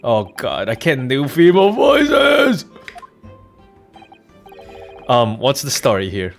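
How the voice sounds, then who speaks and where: A man's voice: mid-range voice, wildly swooping pitch, takes it slowly, gravelly, faint music behind. A man giving casual, blunt gameplay commentary, with mild swearing in his asides. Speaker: streamer playing and reading out a game.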